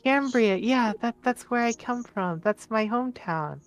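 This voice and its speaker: A player as a naive, earnest teenage boy, pitched up. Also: expressive, measured pace. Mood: innocent, earnest. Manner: soft, earnest, innocent. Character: gentle, naive orphaned teenage boy, anxious but reassuring.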